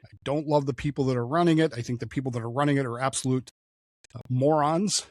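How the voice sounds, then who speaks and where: A man in his sixties hosts a solo talk show and talks without a script, wandering off on tangents. His pitch sits in the middle, and he fills pauses with "uh" and "um." The room is dry and close, with almost no echo. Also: steady tone, a little mellow. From Oklahoma, raised in Denver. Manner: conversational, digressive, frequent fillers. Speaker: show host. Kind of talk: solo opinion monologue.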